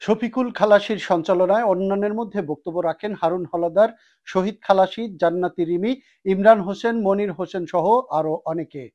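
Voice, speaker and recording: A man's voice: sits high, fairly quick, darkish tone, lo-fi sound. A man with a Bangladeshi accent narrating a scripted TV news report as a correspondent.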